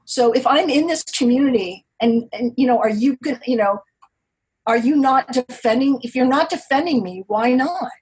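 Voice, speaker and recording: Poker writer lady, husky voice, stops and restarts a lot, thinking out loud. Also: sits high, poor audio. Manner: halting, searching for words, many restarts. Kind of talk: podcast interview, reflective.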